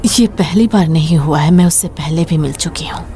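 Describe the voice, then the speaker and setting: A woman's voice: low voice, moderate pace, mellow, natural voice. A woman dubbing a guarded, questioning young warrior in a fantasy game film. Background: background music.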